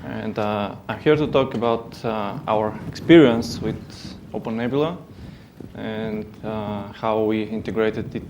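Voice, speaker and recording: A man's voice: lowish voice, unhurried, background noise. A man with a Bulgarian accent giving a technical conference talk, hesitant, with many fillers and restarts. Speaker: conference speaker. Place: conference hall.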